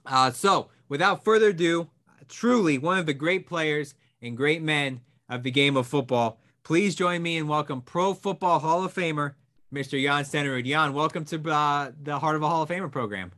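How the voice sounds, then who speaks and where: A young man hosting a school program, introducing and interviewing a guest with an enthusiastic, energetic delivery. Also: fairly high pitch, normal speed. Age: young adult. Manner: enthusiastic, energetic, talking with his hands. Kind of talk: upbeat presenter introduction and interview. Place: small room with a brick wall and memorabilia.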